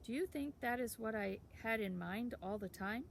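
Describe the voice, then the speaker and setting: Woman reader as a wise pet falcon, hushed and gravelly, storybook. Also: on the high side, normal speed, slight background noise. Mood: knowing. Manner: whispered, gentle. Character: pet falcon, soft and knowing.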